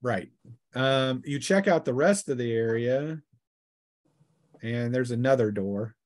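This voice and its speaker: A man's voice: medium pitch, slow pace. A man narrating a tabletop role-playing game in a casual way as game master.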